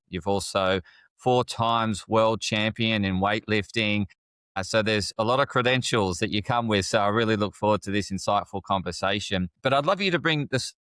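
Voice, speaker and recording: Podcast host, male, low-pitched, eager and repetitive, dry close sound. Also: a bit bright, clear, resonant tone, no room sound. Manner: enthusiastic, repeats phrases, uses fillers. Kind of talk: podcast interview introduction.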